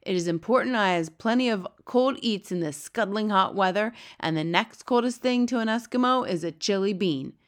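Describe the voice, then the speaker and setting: Storybook villain: greedy, brutish giant man, acted by a woman, loud, sneering, garbled grammar. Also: medium pitch, moderate pace, few pauses, lightly acted. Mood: gloating. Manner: bellowing, sneering, mangled grammar and made-up words. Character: brutish, gluttonous, bullying man-eating male giant. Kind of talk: storybook character reading.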